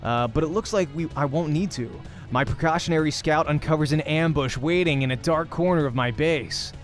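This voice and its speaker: A man's voice: a little high, a little fast. A man hosting a let's play, reading visual novel narration aloud expressively like a voice actor. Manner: acts out dialogue while narrating. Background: game music.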